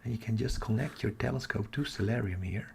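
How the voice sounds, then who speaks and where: Guy doing a casual livestream, half whispering, kinda croaky, noise in the background. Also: a little fast, a little mellow. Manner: keeping voice low for sleeping household. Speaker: astronomy livestream host. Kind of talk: casual live chat with viewers.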